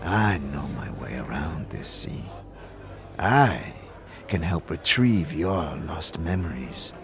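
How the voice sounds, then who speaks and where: A sly, menacing otherworldly warrior, played by a male actor in a fantasy audio drama. The voice is very low and slow, with formal, theatrical phrasing that swings widely in pitch. Music plays behind it. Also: animated, exaggerated voice. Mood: mysterious, bargaining. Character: sly, menacing Githyanki warrior offering memory bargains.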